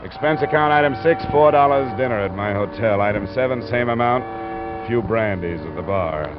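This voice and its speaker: An actor playing a world-weary, dogged insurance investigator in a 1950s American radio drama, speaking in a hard-boiled, matter-of-fact way. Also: male voice, mid-range voice, normal speed, over music, lightly acted. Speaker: lead actor and narrator of a detective radio drama. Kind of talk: 1950s American radio detective acting, clipped narration. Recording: noisy, muffled vintage radio recording.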